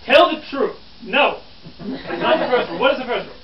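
A man's voice: extremely high pitch, lively intonation, brisk, a crowd in the background, pitched above the performer's own voice. A man playing a pompous, easily exasperated nineteenth-century newspaper reporter who stammers and hectors his pupils in a live stage show. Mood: impatient. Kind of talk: living-history stage performance for an audience. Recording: roomy, distant, dull live audience recording.

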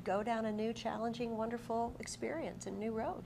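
A woman's voice: fairly high pitch, fairly even intonation, brisk, creaky, noisy background. A middle-aged woman with an American accent, interviewing conversationally in a TV studio with effusive, encouraging reactions. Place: TV studio, indoors at a desk. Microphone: lapel mic.

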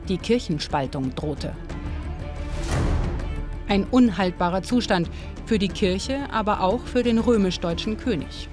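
A woman giving calm, measured scripted narration for a documentary. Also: mid-range voice. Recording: studio voice-over with clear music underneath.

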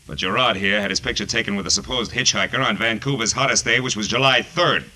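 An American actor playing a sardonic, hard-boiled private detective in 1940s radio noir, with clipped, wisecracking delivery. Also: male voice, fairly low pitch, fast, bright, forceful tone. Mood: commanding. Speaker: lead actor and narrator. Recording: noisy vintage 1940s radio recording.

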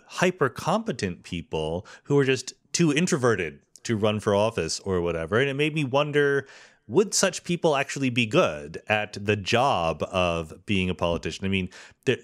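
A man hosting a podcast, asking thoughtful, measured questions in a casual long-form interview. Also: fairly low pitch. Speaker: podcast host.

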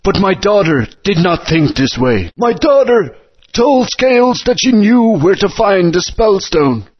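A man's voice: sits high, very animated, unhurried, full character voice. An actor playing an old, fatherly dinosaur guardian in a video game, speaking in a grand, storytelling manner. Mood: wise. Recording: dull lo-fi game audio.

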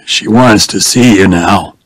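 A man voicing a grieving father, speaking quietly and subdued. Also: sits low, a little slow, dry recording, rough recording.

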